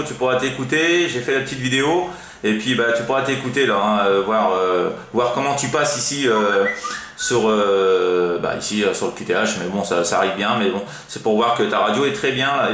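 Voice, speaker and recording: A man's voice: medium pitch, moderate pace, fairly bright tone. A man from France chatting casually as a CB radio operator, in a hesitant, rambling way full of verbal tags. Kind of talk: casual amateur radio chat. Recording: roomy echo, faint background noise.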